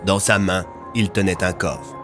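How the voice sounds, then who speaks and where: A man's voice: low voice, fairly even intonation, a little slow, over music. A man narrating a scripted audio fiction as its storyteller.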